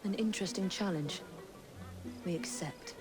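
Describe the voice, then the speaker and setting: Poised young heroine played by an actress. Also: female voice, lowish voice, moderate pace, music in the background, close to an everyday voice. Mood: composed. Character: poised, determined young female adventurer. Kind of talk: dramatic game cutscene acting.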